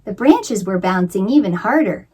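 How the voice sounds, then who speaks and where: Female children's storyteller, mid-range voice, expressive and theatrical picture-book narration. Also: medium pitch, normal speed, slightly rough. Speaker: children's author and librarian reading aloud.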